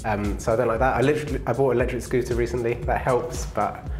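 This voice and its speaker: A man in his thirties from England, answering interview questions in a relaxed, easygoing way with plenty of fillers, on a lapel mic in an indoor lounge. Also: mid-range voice, quick pace, music in the background. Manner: relaxed, laughs easily, many fillers. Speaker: interview guest, engineering manager.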